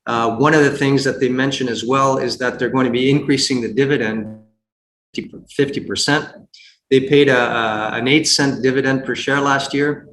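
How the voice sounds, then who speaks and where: A man giving unscripted investment commentary as a guest, speaking haltingly with frequent repeats and false starts. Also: medium pitch, steady tone, fairly bright tone. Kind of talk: unscripted earnings call commentary. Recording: roomy echo, faint background noise.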